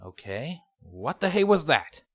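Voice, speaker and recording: A man's voice: on the high side, sing-song, measured pace, a bit bright, breathy edge, pitched above the performer's own voice, full character voice. A man voicing a devoted, protective big-brother unicorn stallion, earnest and emphatic, in a storybook reading. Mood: bewildered. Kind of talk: audiobook character voice in fan fiction. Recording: music playing behind the voice.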